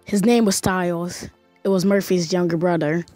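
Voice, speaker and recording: A woman's voice: on the low side, fairly even intonation, moderate pace, darkish tone, no echo, soft background music, natural voice. A woman voice acting a cautious, frightened spaceship survivor in an amateur game roleplay. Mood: somber.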